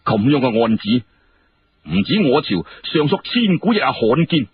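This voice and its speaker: A man voicing a stern, menacing Chinese emperor in Hong Kong Cantonese, imperious and deliberate, within a told story. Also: big pitch swings, dull audio, a little hiss or hum, natural voice. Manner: imperious, deliberate, low-voiced.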